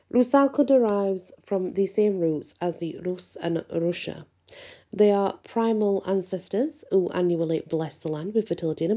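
A woman with a husky, slightly gravelly voice, reading researched notes as an informal narrator and adding casual asides. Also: lowish voice, warm tone. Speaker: host of a series on spirits and folklore.

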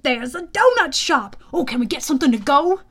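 A woman voicing a nervous, excitable male flying horse sidekick in an audiobook, with informal, hesitant, slangy talk. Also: sits high, fairly quick, voice pushed up. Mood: excited. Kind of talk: audiobook character voice.

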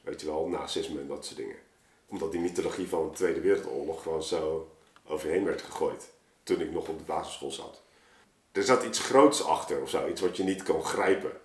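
A man talking casually and reflectively as a guest storyteller, halting and thinking aloud, recorded in an echoey room. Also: low-pitched. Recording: roomy, echoing space.

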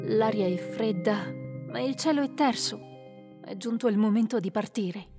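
An actress playing a steady female adventurer in an Italian audio drama, speaking calm and measured. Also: a little high, music in the background, close to an everyday voice.

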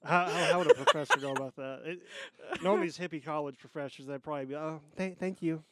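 A man's voice: fairly high pitch, a bit bright, no room sound. A man in his twenties co-hosting a casual podcast, cracking teasing jokes over drinks. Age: 20s. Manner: joking, teasing, quick banter. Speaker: podcast co-host.

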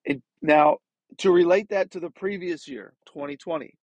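A man's voice: a little high, moderate pace, a little mellow, dry recording, lo-fi sound. A man in his late twenties hosting a casual outdoors podcast, sounding nasal from being sick, rambling with lots of fillers and restarts. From Maryland. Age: late 20s. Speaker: podcast host.

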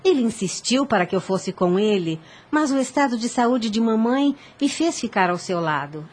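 Husky, earnest young widowed mother. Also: on the high side, animated, moderate pace. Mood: gentle, firm. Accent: Brazilian. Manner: formal, earnest, literary diction. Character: devout, dignified young widowed mother, long-suffering but firm. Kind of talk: radio soap opera acting.

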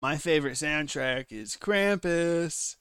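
A man's voice: a little high, slow pace, a bit bright, clean tone, no room sound, studio quality. A young man co-hosting a casual podcast, joking and playful. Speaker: podcast co-host. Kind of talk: casual chat.

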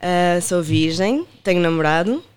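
Uma mulher de vinte e poucos anos, com sotaque do Porto, convidada de um podcast. Responde de forma tímida e suave e ri-se baixinho. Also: female voice, lowish voice, expressive, unhurried, smooth. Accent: northern Portuguese, from Porto. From Porto, Portugal. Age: 20s. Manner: shy, soft-spoken, with a light laugh. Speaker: podcast guest. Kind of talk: interview answers.

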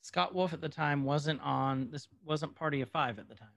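A man's voice: fairly high pitch, moderate pace, gravelly, fairly bright tone, no echo, studio quality. A man co-hosting a podcast, chatting casually and with opinions about old movies. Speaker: podcast co-host.

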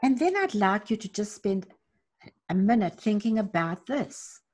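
A woman leading parents through a slow, gentle guided meditation, asking soft reflective questions with long pauses. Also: medium pitch, very animated, soft background music. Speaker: parenting coach guiding a reflection exercise.

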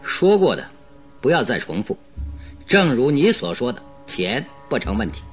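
An actor playing a self-assured, blunt master detective in a radio drama, composed and terse. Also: male voice, mid-range voice, big pitch swings, normal speed, slightly rough, soft background music. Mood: cool, dismissive. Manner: terse, composed, dryly dismissive.

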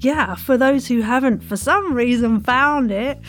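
A woman's voice: high voice, measured pace. A woman hosting a casual interview, playful and effusive as she chats. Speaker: podcast host.

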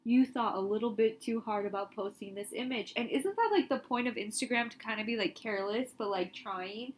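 Animated young woman, fairly high voice. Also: a little fast, a little hiss or hum. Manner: animated, laughs often, emphatic. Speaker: podcast co-host. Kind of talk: casual chat.

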